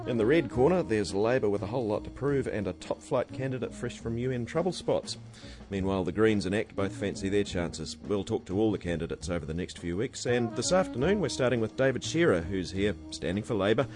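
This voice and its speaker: New radio host, lowish voice, wry scripted intros. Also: male voice, darkish tone. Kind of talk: radio talk show hosting.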